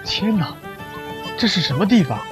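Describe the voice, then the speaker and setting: A man's voice: high voice, very animated, moderate pace. An actor playing a bewildered lawyer in his thirties, a stranger in another world, earnest and hesitant in a radio drama. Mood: alarmed. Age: 30s. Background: background music.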